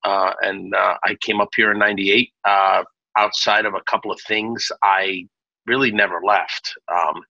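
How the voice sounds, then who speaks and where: A restaurant owner in his forties from Naples, telling his story in a chatty, rambling interview full of restarts. His slightly low voice is bright and forward. The dry recording sounds a little muffled. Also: level tone, normal speed, vocal fry, no room sound. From Naples, Italy. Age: 40s. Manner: chatty, many restarts and fillers.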